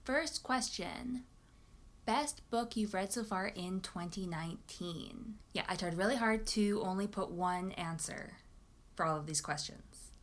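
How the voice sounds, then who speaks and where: A woman chatting casually and enthusiastically about books, like a vlogger talking to her viewers. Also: mid-range voice. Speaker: book vlogger.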